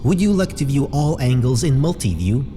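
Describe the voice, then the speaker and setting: A man playing a calm, dutiful starship artificial intelligence in a sci-fi horror audio drama, measured, formal and precise. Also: medium pitch, background noise, lightly acted. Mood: calm, grave.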